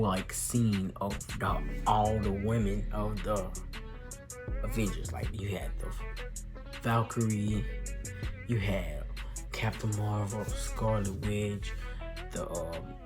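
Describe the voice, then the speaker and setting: A young man with an American accent giving a casual, slangy movie recap with emphatic, excited retelling. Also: lowish voice, takes it slowly, long pauses, over music. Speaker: movie reviewer.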